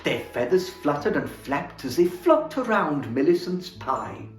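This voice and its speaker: A man playing Santa as storyteller, reading a children's book aloud in a theatrical, expressive way. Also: on the high side, unhurried. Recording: roomy echo, faint music.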